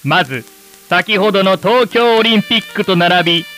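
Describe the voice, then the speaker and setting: A man's voice: high voice, sharp tone, soft background music. A man playing an earnest television presenter in a radio drama, announcing in formal, scripted, solemn language. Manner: formal, solemn, measured wording.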